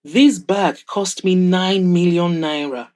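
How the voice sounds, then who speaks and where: A woman's voice: lowish voice, slow pace, a little mellow. A woman voicing a proud, wry, wealthy older mother of a grown son in an audio drama, teasing and frank as she advises. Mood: proud, admiring.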